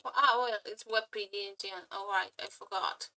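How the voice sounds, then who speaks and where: A woman streamer chatting casually to viewers in a gushing, flirty fangirl manner, halting and repeating her words as she goes. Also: medium pitch, steady tone, sharp tone, poor audio. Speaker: aspiring VTuber and streamer. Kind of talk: casual reaction chat to viewers.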